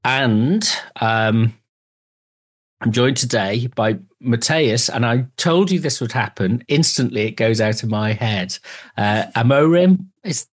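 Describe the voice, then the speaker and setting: A man's voice: medium pitch, takes it slowly. A man from the UK hosting a podcast, chatting casually over a video call and stumbling over his words. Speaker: podcast host. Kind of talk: casual interview chat.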